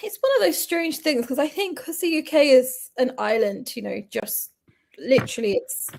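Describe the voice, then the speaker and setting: A young woman with a British accent chats loosely, piling on fillers and drifting off on tangents. Her voice sits a little high, with a gravelly fry running through it. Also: big pitch swings, normal speed, darkish tone, slight background noise. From United Kingdom. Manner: chatty, rambling, many fillers. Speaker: co-host. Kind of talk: casual chat.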